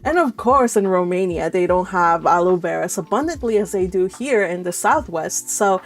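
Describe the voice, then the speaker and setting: A woman hosting a casual livestream, chatting in an animated, teasing way. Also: on the low side, normal speed. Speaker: host of a live read-along stream. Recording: music behind the voice. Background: music.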